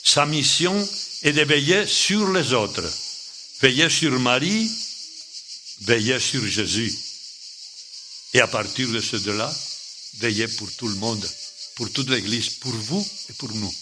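An older man, not a native French speaker, preaching a sermon slowly in short, halting phrases. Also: mid-range voice, expressive, background noise.

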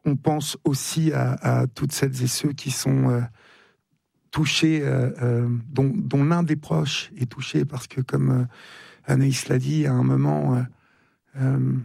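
A man hosts a live call-in radio show from the studio, speaking in a gravelly, husky voice. He stays gentle and courteous, pausing often with fillers. The sound is dry and close. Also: medium pitch, little pitch movement, dry recording. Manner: hesitant, many fillers, gentle and polite. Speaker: radio talk show host. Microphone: studio microphone.

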